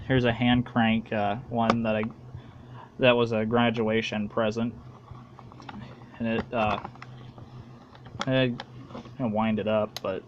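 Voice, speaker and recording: American guy doing a casual show and tell, kinda monotone and halting, muffled recording w some noise. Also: mid-range voice. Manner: halting, unpolished, plain-spoken. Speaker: hobbyist video maker.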